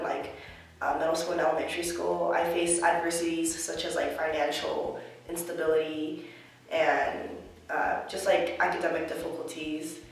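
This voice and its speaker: Earnest young woman in an echoey room. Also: lowish voice. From United States. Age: young adult. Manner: reflective, earnest, heavy on filler words. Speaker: college student interviewee. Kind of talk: personal interview answers. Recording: echoey room, mic at a distance.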